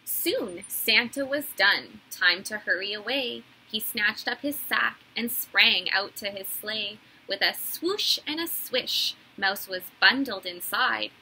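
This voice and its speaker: A woman in her thirties reading a children's story aloud like a teacher, in a deliberate, animated storytelling way. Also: medium pitch, breathy edge, low-quality recording. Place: small indoor room.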